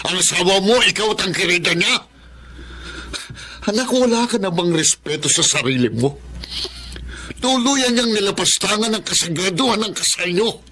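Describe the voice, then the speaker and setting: An indignant, domineering father in a drama. His voice is high and sharp, pushing hard as he scolds and demands. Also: animated, moderate pace, pauses often. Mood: outraged, threatening. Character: outraged, domineering father defending his grown daughter. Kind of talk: Filipino melodrama acting.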